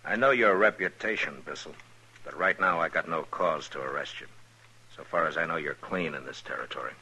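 Very deep, stern Old West marshal. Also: male voice, a little fast, pushed and bright, breathy edge, exaggerated voice, rough recording. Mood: cool, firm. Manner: terse, dry, commanding. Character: stern, unflappable Old West town marshal, grown man. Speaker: lead actor and narrator. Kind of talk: 1950s radio western acting. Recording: old radio recording with music.

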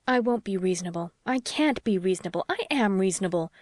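A woman's voice: mid-range voice, lively intonation, fast, darkish tone, no echo, natural voice. A woman voicing a passionate, protective young wife who argues in an emphatic, pleading way, as in an audiobook. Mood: indignant protest. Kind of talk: audiobook character dialogue.

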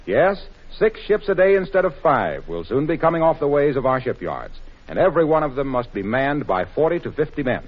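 A man announcing in scripted 1940s radio style, delivering sponsor pitches and appeals. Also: sing-song, brisk. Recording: muffled, worn 1940s radio recording.

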